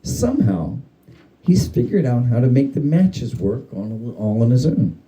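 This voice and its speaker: Very dark-voiced man reading a story theatrically. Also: medium pitch, very animated, unhurried, poor audio. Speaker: story reader. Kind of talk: dramatic reading of a humorous spooky story.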